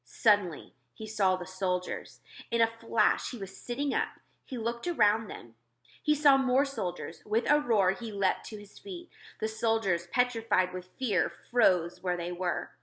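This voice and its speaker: A woman narrating a children's storybook aloud expressively, with dramatic, deliberate emphasis. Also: medium pitch, lively intonation, fairly bright tone.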